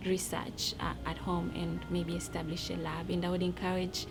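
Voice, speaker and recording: A woman's voice: on the low side, flat delivery, moderate pace, vocal fry, noisy background. A woman from Tanzania with a Tanzanian accent, giving a testimonial as a research trainee. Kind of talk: promotional interview testimonial. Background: music.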